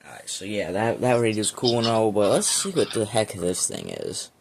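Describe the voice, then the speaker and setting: A man's voice: lowish voice, wildly swooping pitch, normal speed. A man giving casual, slangy unboxing commentary in an informal, rambling way. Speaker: amateur video reviewer. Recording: clearly noisy home recording with clicks.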